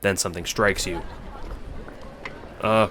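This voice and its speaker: A man's voice: deep voice, moderate pace, a crowd in the background, background noise. A man narrating a scripted audio drama in the second person.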